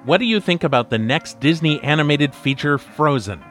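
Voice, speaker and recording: A man's voice: fairly high pitch, expressive, normal speed, fairly bright tone, faint music behind. A man playing an eager, easily distracted podcaster in a comedic audio drama. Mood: eager, curious.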